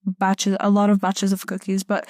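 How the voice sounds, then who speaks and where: A young woman from Egypt hosts a casual podcast conversation. Her voice is mellow and sits at a medium pitch. The recording is dry and close. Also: monotone, normal speed, no room sound. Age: young adult. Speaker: podcast host.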